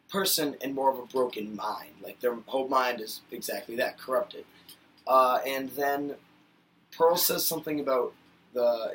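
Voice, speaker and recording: Chatty bright-voiced male vlogger. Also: mid-range voice, recorded from a distance, slight background noise, poor audio. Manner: rambling and chatty with many fillers, gets worked up. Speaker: YouTube vlogger reviewing cartoon episodes. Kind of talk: casual rambling video review.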